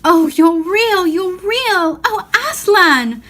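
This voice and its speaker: A woman's voice: very high voice, expressive, slow pace, fairly bright tone, pitched above the performer's own voice, heavily acted. A woman voicing a tender, eager young girl in a storybook, full of earnest feeling. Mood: overjoyed.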